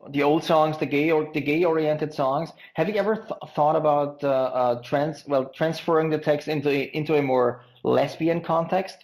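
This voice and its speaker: A male podcast host who asks long, winding questions, tripping over words and restarting as he goes. His voice sits a little above average for a man and has a gravelly, crackly edge running through it. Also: fairly high pitch, darkish tone. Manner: halting, stumbles over words. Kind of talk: interview questions.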